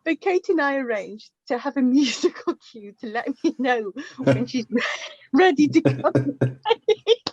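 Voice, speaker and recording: Actress as middle-aged mother, slightly husky, unhurried, chatty, affectionate, hesitant, flustered, radio play dialogue. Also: high-pitched, very animated, darkish tone, no echo, heavily acted. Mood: flustered, amused. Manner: hesitant, halting, chatty, with small nervous repetitions. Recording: dull lo-fi, slightly muffled recording.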